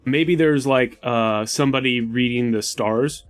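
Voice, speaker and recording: A man's voice: medium pitch, measured pace. A man playing a tabletop game, explaining ideas for the story casually as he thinks them through. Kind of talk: casual collaborative worldbuilding talk. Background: music.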